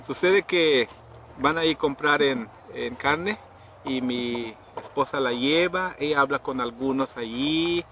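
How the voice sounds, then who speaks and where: A middle-aged man casually telling a story outdoors, acting out quoted people with sudden high pitched voices. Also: measured pace, a bit bright. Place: outdoors under trees in a rural yard. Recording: outdoor noise in background.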